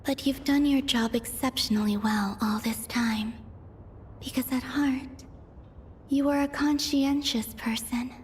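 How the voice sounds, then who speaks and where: A woman playing a gentle, serene, wistful maiden in a video game, with a soft, breathy near-whisper. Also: high-pitched, fairly even intonation, measured pace, slight background noise. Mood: tender. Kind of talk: English video game voice acting.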